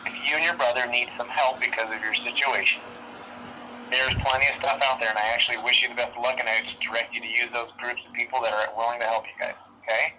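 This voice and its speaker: A man speaking as a police lieutenant on a phone call, businesslike and quick to cut in. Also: mid-range voice, flat delivery, sharp tone. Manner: brisk, interrupting, businesslike. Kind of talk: phone conversation. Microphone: telephone line. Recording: phone call with faint background noise.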